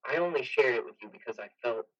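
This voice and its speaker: A man playing a defensive, patronizing army major in a play reading, measured, evasive and matter-of-fact. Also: mid-range voice, fairly bright tone, highs cut off. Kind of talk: play reading, modern drama.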